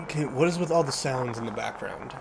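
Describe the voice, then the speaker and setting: A man's voice: medium pitch, fairly quick, gravelly, noisy background. A man giving casual reaction commentary as a video host, jittery and often repeating himself.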